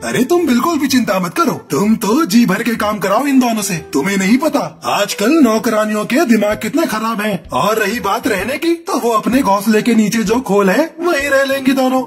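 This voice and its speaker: High-voiced, scheming merchant crow. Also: male voice, lively intonation, normal speed, hardly any pauses, breathy edge, faint music behind. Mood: placating. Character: stingy, scheming male crow, rich merchant husband. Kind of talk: Hindi animated moral story dialogue.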